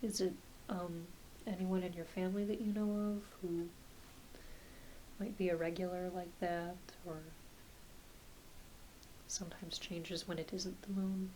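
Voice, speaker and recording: A soft-spoken woman, often dropping into a whisper, gently playing a reassuring doctor in an ASMR roleplay. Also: on the low side, level tone, measured pace, long pauses, rough recording. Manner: gentle, reassuring, hesitant questioning. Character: kindly, attentive doctor examining a patient. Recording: hissy close home recording.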